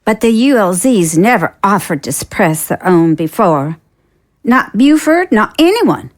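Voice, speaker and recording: A woman's voice: medium pitch, big pitch swings, measured pace. Actress as female senator, shrewd, imperious, pointed, formal, swooping intonation, audio drama. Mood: troubled, skeptical.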